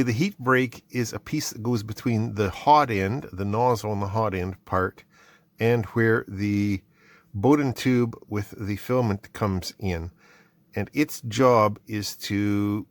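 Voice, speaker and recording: A man hosting a casual mail-opening video, reading labels aloud, thinking out loud and patiently explaining technical parts. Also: lowish voice, no room sound, clean studio sound. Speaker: channel host.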